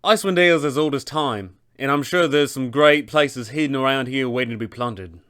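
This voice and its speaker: A cocky wizard of illusions, played by a man, boastful and scornful in a theatrical way. His clear voice rides a little above the performer's usual pitch, made for a tabletop fantasy game. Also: fairly quick, fairly bright tone, close to an everyday voice.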